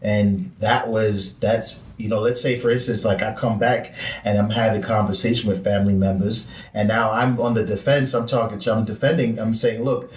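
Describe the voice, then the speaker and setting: A man's voice: on the low side, steady tone, normal speed. A man from Jamaica, co-hosting a casual chat and explaining things earnestly, often repeating his words. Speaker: podcast co-host. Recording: echoey room, mic at a distance.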